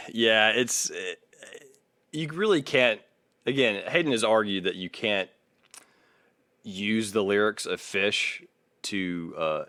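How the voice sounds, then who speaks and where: A man co-hosting a podcast, talking casually in a measured, hesitant way and sometimes reading aloud. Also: on the low side, fairly bright tone, no echo. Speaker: podcast co-host.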